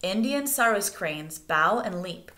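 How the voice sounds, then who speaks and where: A woman reads a nature picture book aloud as expressive narration meant for children. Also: medium pitch, slow pace. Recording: echoey room.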